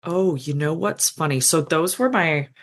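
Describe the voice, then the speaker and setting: Podcast guest, female, very low voice; chatty, self-deprecating author. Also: unhurried. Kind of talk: casual podcast interview.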